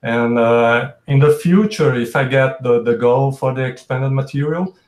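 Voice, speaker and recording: A man's voice: mid-range voice, unhurried. Brazilian man in an echoey room. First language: Portuguese. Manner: explains at length with restarts. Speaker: podcast guest. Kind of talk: casual chat. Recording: roomy echo.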